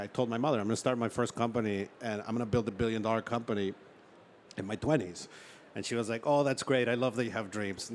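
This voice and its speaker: A man from Ecuador speaks in a fairly low voice as an interviewed founder. His delivery is informal and conversational, stopping and restarting as he tells stories. Some room ambience and noise sit behind him. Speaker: startup founder and chief executive, interview guest. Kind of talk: conversational storytelling in an on-stage interview.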